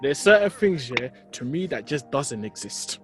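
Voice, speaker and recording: A man's voice: medium pitch, sing-song, moderate pace, music in the background. A British man co-hosting a podcast, bantering casually in an emphatic, jokey manner. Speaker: podcast co-host. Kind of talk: casual banter about films.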